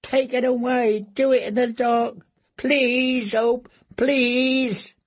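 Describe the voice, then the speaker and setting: A man's voice: very high voice, little pitch movement, extremely slow, pitched above the performer's own voice, exaggerated voice. A man voicing a starved, broken male prisoner who whimpers, stammers and begs. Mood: terrified pleading.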